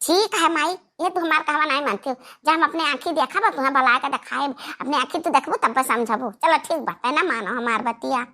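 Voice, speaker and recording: A performer plays a sly young sister-in-law in a cartoon comedy. The voice is high and bright, scheming and boastful, with an Awadhi accent. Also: brisk, hardly any pauses. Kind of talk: exaggerated cartoon comedy acting.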